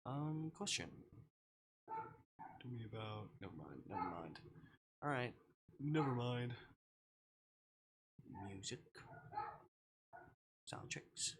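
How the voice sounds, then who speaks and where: Guy streaming a game, super lively pitch, thinks out loud, bit of noise behind him. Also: slow pace, long pauses. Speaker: livestreamer. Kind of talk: casual livestream chat while playing a game.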